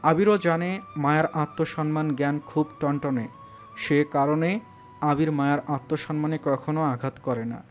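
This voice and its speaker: A man's voice: on the high side, steady tone, moderate pace. A man narrating a romantic audio story in a dramatic, scripted style. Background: background music.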